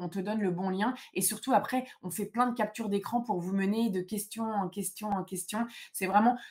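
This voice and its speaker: A woman's voice: medium pitch, a little mellow. A woman hosting an informal live question-and-answer session, explaining things in a direct, emphatic way. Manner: emphatic, direct, advising listeners.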